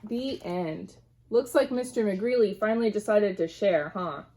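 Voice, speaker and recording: A woman teacher reading a storybook aloud to young children in an animated way, pausing to ask them questions. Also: medium pitch, breathy edge.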